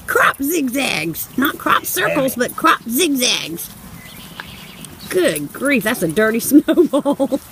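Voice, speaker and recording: A woman's voice: sits high, big pitch swings, takes it slowly, a crowd in the background. A woman, chatting and giggling affectionately as she films a home video outdoors in a snowy yard on a handheld phone camera. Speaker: vlogger filming her husband. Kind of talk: casual home video narration.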